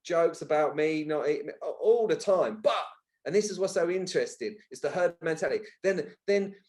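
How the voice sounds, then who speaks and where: A man's voice: a little high, expressive, a little fast, a little mellow. A man with an English accent chatting casually as a podcast guest, blunt, colloquial and emphatic. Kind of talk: casual conversational interview talk.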